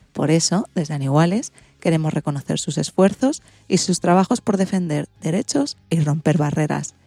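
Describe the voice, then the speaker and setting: A woman with an accent from Spain presents a children's radio programme in a low, relaxed voice. Her delivery is partly scripted, with a husky edge, and the sound is dry and close. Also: no echo.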